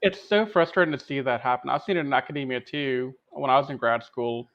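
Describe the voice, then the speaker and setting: A man's voice: medium pitch, fairly quick, lo-fi sound. A man talking in a casual, conversational way as a podcast co-host. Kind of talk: casual chat.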